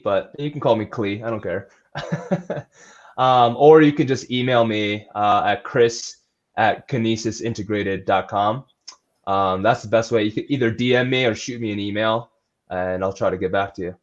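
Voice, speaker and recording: A man's voice: on the low side, normal speed, dull audio. A man speaking as a trainer and coach in a casual interview, in an earnest, conversational way. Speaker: athletic trainer and strength coach being interviewed.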